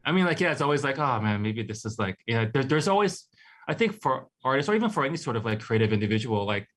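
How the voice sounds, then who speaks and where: A man who grew up in Hawaii, talking casually about his life as an artist in a relaxed, rambling way full of fillers. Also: mid-range voice, quick pace. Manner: relaxed, rambling, many "like" and "you know" fillers. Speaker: artist guest. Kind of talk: casual interview chat.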